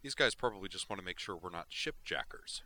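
A man's voice: low voice, expressive, quick pace, sharp tone, full character voice. A man voicing a sarcastic, indignant female shipboard AI in a sci-fi audiobook reading. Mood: outraged. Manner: sarcastic, exasperated.

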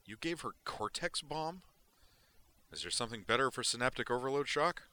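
A man's voice: medium pitch, lively intonation, normal speed, bright, forceful tone, voice pushed up. A man voicing a laid-back young man in a story reading, incredulous and full of questions.

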